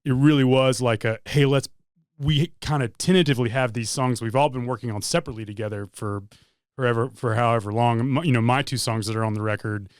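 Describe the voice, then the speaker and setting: Smooth-voiced man chatting loosely. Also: mid-range voice, fairly even intonation, fast, no echo, studio quality. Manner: loose, rambling explanations with frequent restarts. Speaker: band member. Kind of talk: casual interview chat.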